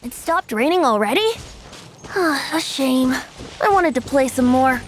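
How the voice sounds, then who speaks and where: A woman's voice: high voice, animated, unhurried, a little hiss or hum. A woman voicing a playful little girl in a video game. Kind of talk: video game character voice acting.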